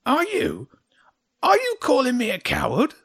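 A man voicing a grumpy, defensive little old hedgehog guard in a children's audiobook, indignant and mocking in a high, creaky voice. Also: huge pitch swings, unhurried, fairly bright tone, dry recording, studio quality, exaggerated voice. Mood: defensive, angry. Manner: indignant, spitting, mocking, exclamatory. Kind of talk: children's audiobook character acting.

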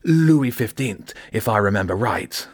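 A man voicing an elderly, genial dealer with a wheezy, husky voice and a slow, courteous, old-fashioned manner. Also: on the low side, animated, dry recording, studio quality, pitched above the performer's own voice. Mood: curious.

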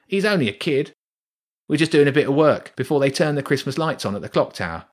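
A man's voice: fairly high pitch, animated, quick pace, pitched above the performer's own voice, close to an everyday voice. A man voicing a greedy, pushy male rat workman in a children's storybook, with a cocky, wheedling manner.

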